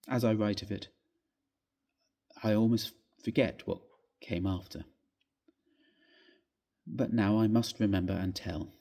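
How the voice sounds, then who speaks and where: A man gives a measured, theatrical reading of a classic ghost story, with expressive phrasing. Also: lowish voice, vocal fry. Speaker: story reader. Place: inside a church, live reading.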